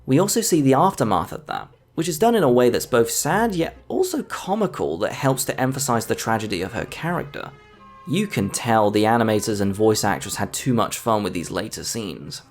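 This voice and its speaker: A man delivers scripted film commentary in a medium-pitched voice with lively, varied intonation. He speaks as a video essayist walking through a review, with music playing faintly underneath. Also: brisk. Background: background music.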